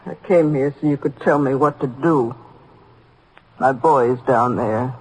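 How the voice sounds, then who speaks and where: A grief-stricken, bitter mother in a vintage radio drama. Her husky voice is distraught, halting and plaintive, turning accusing. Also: very deep voice, darkish tone, heavily acted, rough recording. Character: grief-stricken, bitter working-class mother of grown sons. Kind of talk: old-time radio drama acting.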